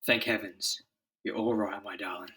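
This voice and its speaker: A man's voice: lowish voice, steady tone, normal speed, bright, forceful tone, pitched below the performer's own voice. A man voicing an earnest, commanding scientist hero in a melodramatic comic-book reading. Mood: concerned, earnest.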